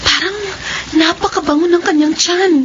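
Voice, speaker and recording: A woman acting in a Filipino radio drama as a gentle, easygoing young village woman. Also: very high voice, steady tone, moderate pace. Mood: puzzled. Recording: dull lo-fi radio drama, noisy background.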